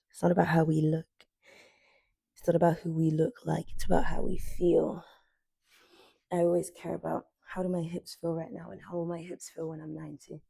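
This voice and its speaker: A woman in her twenties guiding a morning stretching class, cueing softly and unhurriedly as she moves. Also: on the low side, gravelly, breathy edge, no echo. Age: 20s. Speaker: yoga instructor. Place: sunlit living room with large windows.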